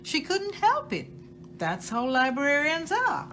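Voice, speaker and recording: A woman reading a children's picture book aloud expressively, with deliberate phrasing and emphasis on key words. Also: sits high, huge pitch swings, fairly bright tone, a little hiss or hum. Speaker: storytime reader at a library.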